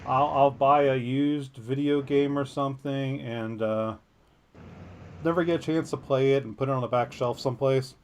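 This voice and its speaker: A man reads a story out loud for his podcast partner, stopping often for joking, easily sidetracked asides. His voice sits at an ordinary middle pitch. A faint hum of room ambience runs underneath. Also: fairly even intonation, moderate pace. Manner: jokey, easily sidetracked, self-deprecating. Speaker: podcast co-host. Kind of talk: reading a news story aloud with casual asides.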